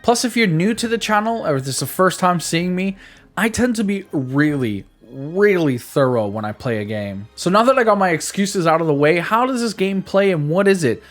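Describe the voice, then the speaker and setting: A man presents a video game review in a fairly high-pitched voice. His narration is scripted but informal, with chatty asides and occasional stumbles over words. Soft music plays behind him. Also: lively intonation, moderate pace.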